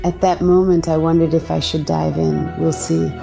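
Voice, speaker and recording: A woman raised in Belgium, speaking as an interview guest in a calm, matter-of-fact way. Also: sits low, moderate pace, creaky, over music. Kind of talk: personal interview.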